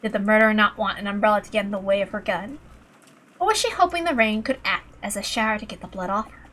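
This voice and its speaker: A woman's voice: medium pitch, fast, a bit bright. A woman reading scripted visual novel narration in a dramatic storytelling style. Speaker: narrator, reader. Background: music.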